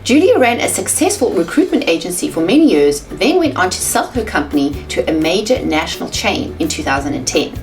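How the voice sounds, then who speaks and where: A woman's voice: fairly low pitch, very animated, fairly quick, few pauses, faint music behind. A woman from South Africa with a South African accent, hosting an interview in an enthusiastic, chatty way and laughing often. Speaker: host.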